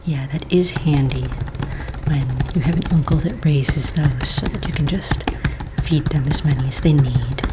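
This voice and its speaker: A woman playing a patient, polite office manager in a soft-spoken ASMR roleplay, breathy and half-whispered, asking gentle official questions with small hesitations. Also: deep voice. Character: patient, polite female office manager registering fantasy pets. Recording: close mic, faint noise, keyboard clicks. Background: computer keyboard typing and clicks.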